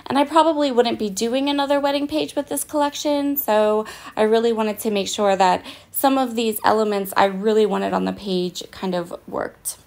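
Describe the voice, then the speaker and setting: A woman giving a casual, chatty voice-over of her craft project at home, her voice raspy and hoarse and she often pauses with "um". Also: a little high, steady tone, a little mellow. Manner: chatty and apologetic, with frequent "um"s. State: hoarse, voice partly fading. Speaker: craft vlogger. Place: at home, in bed.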